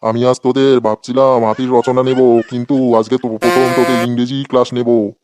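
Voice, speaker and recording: A man's voice: medium pitch, flat delivery, normal speed, noisy background, lightly acted. A man playing an irritable, sarcastic schoolteacher who threatens his pupils in a comedy cartoon. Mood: angry, sarcastic. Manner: scolding, sarcastic. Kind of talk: exaggerated comic cartoon dialogue.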